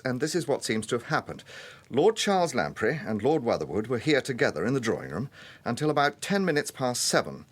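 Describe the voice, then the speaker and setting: An actor with an English accent playing a courteous, methodical gentleman police detective in a British radio drama, polite and measured as he probes. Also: mid-range voice.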